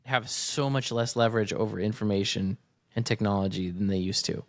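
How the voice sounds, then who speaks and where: A man's voice: fairly low pitch, fairly quick, no room sound, studio quality. An American man co-hosting a sports podcast, explaining his view in a casual, analytical style. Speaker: podcast co-host.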